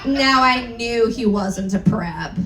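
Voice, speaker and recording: A woman's voice: fairly high pitch, slow. A woman giving a theatrical comic story reading to a live room, with playful, sweary asides and exaggerated delivery. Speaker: performer of a dramatic reading. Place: indoor live stage event. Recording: echoey live room, faint noise.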